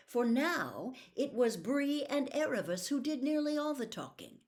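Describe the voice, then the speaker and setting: A woman reading a classic story aloud as a narrator, in a measured, expressive way. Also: medium pitch. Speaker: audiobook reader.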